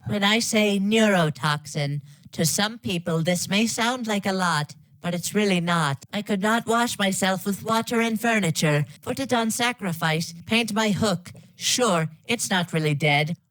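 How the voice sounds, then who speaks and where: A woman voicing a stilted, deadpan supercomputer villain in a video game parody. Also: mid-range voice, measured pace, dry recording, natural voice, poor audio. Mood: deadpan menace. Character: stilted female supercomputer villain speaking mangled, nonsensical threats. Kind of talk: scripted game parody lines.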